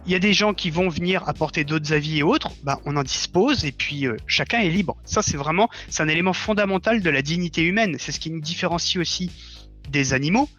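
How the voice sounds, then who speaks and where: A man's voice: a little high, a little fast, a bit bright, dull audio, soft background music. A man speaking as a guest in a conversational interview, explaining his views earnestly. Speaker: interview guest, audio fiction writer.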